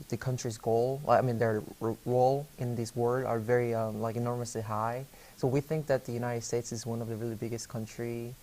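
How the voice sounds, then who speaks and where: A young man from South Korea, Korean his first language, speaks in a flat, even tone. He answers interview questions hesitantly, full of fillers and restarts. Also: medium pitch, low-quality recording. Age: young adult.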